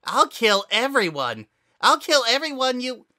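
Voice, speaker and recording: A man's voice: big pitch swings, slow pace, bright, forceful tone, exaggerated voice. A man voicing a small, spiteful, menacing flower creature who shrieks and taunts. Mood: furious shrieking. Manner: high taunting shrieks, cackling.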